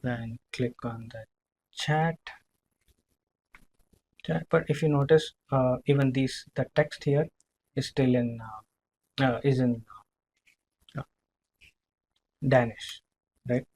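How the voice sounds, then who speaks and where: A man demonstrating software in a live screen-share walkthrough, speaking haltingly, repeating himself and often checking in with a short tag question. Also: mid-range voice, steady tone, a little hiss or hum, poor audio. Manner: halting, repetitive, checking in with "right?".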